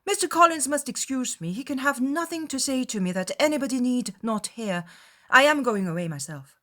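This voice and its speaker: A woman voicing a polite, distressed young gentlewoman, pleading formally in a period audiobook. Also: sits high, expressive, brisk, pitched above the performer's own voice. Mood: pleading, flustered. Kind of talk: dramatized period audiobook character acting.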